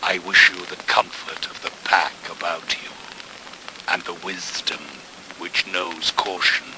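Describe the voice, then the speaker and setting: An actor playing a grave, stern wolf of the pack in a 1970s radio play, speaking with solemn declamation in a gravelly voice. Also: male voice, sits low, little pitch movement, unhurried. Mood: solemn blessing. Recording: noisy old 1970s radio play recording.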